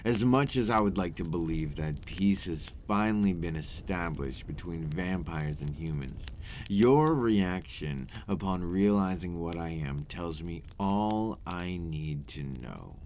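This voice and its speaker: A man voicing a centuries-old vampire in an ASMR roleplay, a courtly, teasing predator with condescending, formal, old-fashioned phrasing and a deep voice. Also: lively intonation, slow pace, darkish tone, slight background noise, exaggerated voice. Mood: solemn, condescending. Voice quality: deep, breathy at moments.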